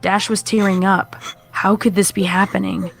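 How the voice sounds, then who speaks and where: A woman's voice: medium pitch, level tone, normal speed, gravelly, faint music behind. A woman narrator reading a scripted horror story.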